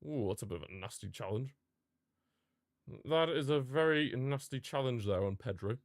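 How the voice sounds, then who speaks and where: A man's voice: medium pitch, expressive, moderate pace, dry recording, clean studio sound. A man reacting live to a football match as a streamer, ranting and calling out to his chat. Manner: passionate, frustrated fan outbursts and rants; talks to live chat viewers.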